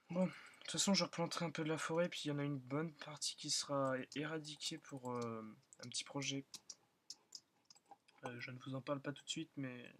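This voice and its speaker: A young man who is still in school, slightly hoarse, rambling and thinking aloud with many fillers as he comments on his own gameplay. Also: medium pitch, slight background noise. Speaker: gaming channel host. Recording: loud keyboard and mouse clicking.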